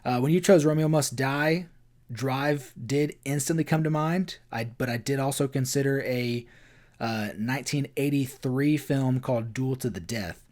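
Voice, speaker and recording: A man in his late twenties hosting a casual film discussion podcast, speaking in a relaxed, thoughtful way with hesitant fillers. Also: mid-range voice, normal speed. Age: 20s. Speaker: podcast host.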